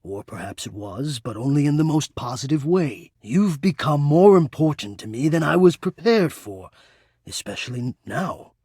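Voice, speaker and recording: Shy romantic suitor, breathy, formal and halting, intensely earnest, dry close sound, video game character. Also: male voice, fairly high pitch, big pitch swings, darkish tone, no room sound. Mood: earnest, apologetic. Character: shy, lovestruck, courtly living ventilation system, adult male. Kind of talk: dating game character voice acting.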